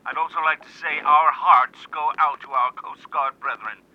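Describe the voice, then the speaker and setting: A man's voice: a little high, steady tone, normal speed, dull audio. A man playing a guarded, firm navy admiral giving clipped official answers over a radio link in a drama. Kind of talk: old-time radio drama interview.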